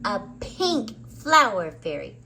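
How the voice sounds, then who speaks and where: A woman voicing a boisterous, boastful young boy in a children's storybook, calling out loudly and proudly. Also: medium pitch, big pitch swings, takes it slowly, gravelly, slight background noise, exaggerated voice, low-quality recording.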